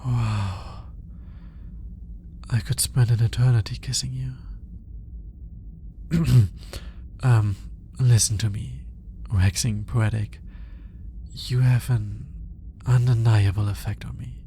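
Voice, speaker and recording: Gravelly, tender alien scientist, acted by a man. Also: on the low side, a little hiss or hum. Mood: awed, flustered. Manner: hesitant, soft-spoken, lapsing into whispers. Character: earnest, smitten male alien scientist, awkward and tender. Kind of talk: intimate roleplay ASMR audio drama.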